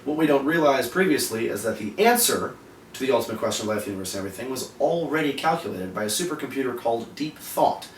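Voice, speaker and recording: A man's voice: medium pitch, animated, fast. A man co-hosting a geek culture explainer, presenting partly scripted material in a casual way. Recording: roomy, distant mic, faint background noise.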